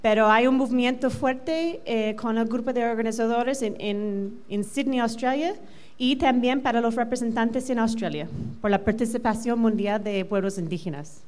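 Middle-aged American woman, native English speaker, presenting at a conference. Fairly high voice, quick and halting delivery. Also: a little mellow. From United States. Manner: quick, with many fillers and restarts. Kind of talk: conference talk. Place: large hotel conference room. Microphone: handheld microphone.

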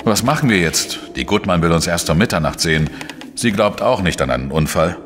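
An actor playing a dry, defiant investigative journalist in a radio play, with a sardonic, laconic manner. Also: male voice, low-pitched, animated, brisk, over music. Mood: businesslike. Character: dry, defiant male investigative journalist, narrating his case. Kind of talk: radio play dialogue and first-person narration.

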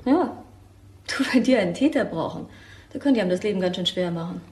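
A woman's voice: mid-range voice, animated, quick pace, a little mellow, lightly acted. Anxious woman acted in an echoey room. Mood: relieved, anxious. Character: anxious wife or partner, distrustful of police. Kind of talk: German crime radio drama acting. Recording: echoey room, faint background noise.

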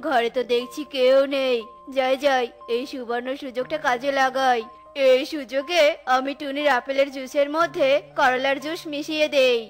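A woman's voice: high-pitched, steady tone, moderate pace, lightly acted. A woman voicing a sly, scheming male crow in a children's cartoon, with a theatrical, boastful delivery. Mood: gloating. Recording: faint music under the voice.

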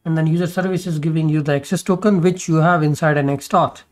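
A man's voice: fairly high pitch, steady tone, fast talker. A man explaining code step by step in a screen-recorded programming tutorial, with many fillers and restarts as he goes.

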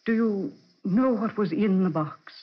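A man's voice: high-pitched, measured pace, slightly rough. A man playing a probing, suspicious accomplice in a 1940s radio drama. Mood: probing, cool. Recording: muffled vintage radio recording.

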